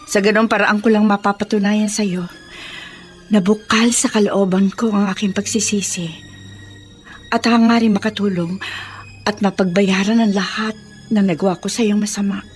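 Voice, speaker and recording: Woman playing proud, wounded rich Filipina madam for radio drama; middle-pitched, emphatic, music underneath. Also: little pitch movement, moderate pace, long pauses, natural voice. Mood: remorseful, grateful. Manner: emphatic, melodramatic delivery. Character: wealthy matronly woman, wronged, fierce yet deeply remorseful.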